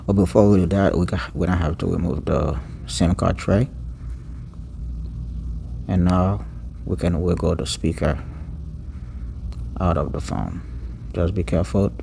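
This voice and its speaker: A man giving an unscripted step-by-step repair tutorial, pausing mid-sentence and repeating words as he goes. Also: low-pitched, fairly even intonation, gravelly, dark tone, slight background noise.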